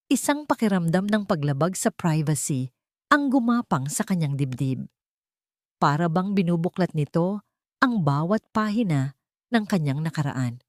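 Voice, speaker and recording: A Filipino woman delivers dramatic scripted story narration. Her voice sits on the low side, and the recording is dry and close, with little room sound. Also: a little mellow, no echo.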